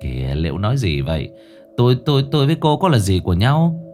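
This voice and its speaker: A man's voice: lowish voice, wildly swooping pitch, quick pace, a little mellow, faint music behind. A man playing an exasperated young man in an audio story, flustered and protesting, trying to placate someone. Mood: flustered, indignant. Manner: flustered protesting, stammering, soothing. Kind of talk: audio ghost story character voice.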